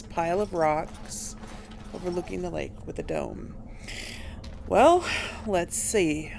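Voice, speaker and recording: A woman's voice: lowish voice, creaky, a little hiss or hum. A woman hosting a video game playthrough in casual commentary, thinking aloud as she plays. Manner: thinking aloud, musing to herself. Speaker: host of a game playthrough series.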